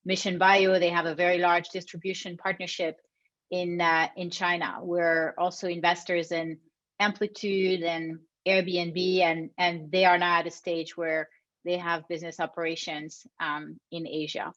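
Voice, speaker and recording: Flat-voiced woman. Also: on the low side. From France. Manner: measured, with soft fillers. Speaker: venture investor and founder. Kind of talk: panel discussion remarks. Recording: dull, muffled audio.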